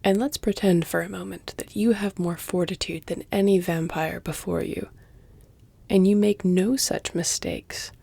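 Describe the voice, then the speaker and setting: Woman as a creaky-voiced, flirtatious ancient vampire lady. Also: fairly low pitch, fairly even intonation, mellow, dry recording, full character voice. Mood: grave, challenging. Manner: measured, teasing, formal, slipping into breathy near-whispers. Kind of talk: intimate audio roleplay, scripted dramatic acting. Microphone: close, dry studio-style mic.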